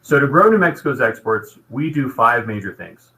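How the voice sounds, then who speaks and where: A man's voice: medium pitch, animated, normal speed, bright, forceful tone, slight background noise, rough recording. A man giving an informational presentation about his program in an explanatory, businesslike manner.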